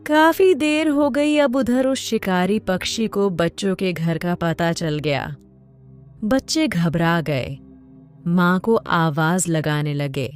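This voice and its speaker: A woman's voice: on the low side, slow, over music. A woman reading scripted narration for a children's story.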